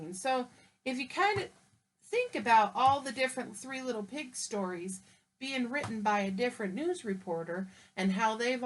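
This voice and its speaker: Woman storyteller for kids, gentle, explaining, slightly high voice. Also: slight background noise, poor audio. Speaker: teacher reading aloud to children. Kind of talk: casual read-aloud commentary.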